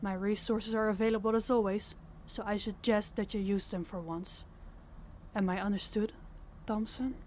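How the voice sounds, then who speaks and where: Stern female crime boss, played by a woman, mid-pitched, blunt and commanding, audio drama. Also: fairly even intonation, normal speed, a little mellow, a little hiss or hum, natural voice. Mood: angry, commanding. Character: stern, fed-up female crime boss scolding her subordinate. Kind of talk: audio roleplay acting.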